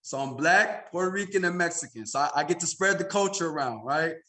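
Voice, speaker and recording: Higher-voiced American man telling stories. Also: expressive, fairly quick, pushed and bright. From Chicago, United States. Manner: conversational, frequent "you know" and "right?" tags. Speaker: podcast guest, insurance agency senior executive. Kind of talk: casual interview storytelling.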